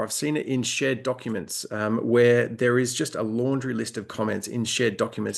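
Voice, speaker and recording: A man talks through survey findings in a relaxed, conversational way, with a noticeably gravelly voice at an average pitch. He often pauses with "um" and repeats words while he talks. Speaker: co-presenter. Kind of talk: webinar presentation.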